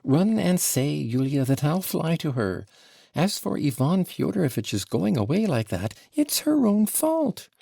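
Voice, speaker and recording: A man voicing a flustered, gushing middle-aged society mother who talks in breathless, excitable exclamations. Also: a little high, a little slow, vocal fry, no room sound, pitched above the performer's own voice, full character voice.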